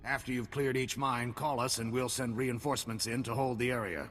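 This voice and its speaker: A man's voice: fairly even intonation, a little fast, a bit bright, natural voice. An actor playing a grim male field commander who gives clipped, commanding orders in a video game cutscene. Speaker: military commander. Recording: long reverb, faint rumble.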